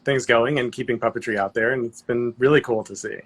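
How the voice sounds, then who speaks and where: A man's voice: mid-range voice, steady tone, quick pace, fairly bright tone, husky. A man hosting a casual interview over an online video call. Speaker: interview host. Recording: faint noise and clicks, online call.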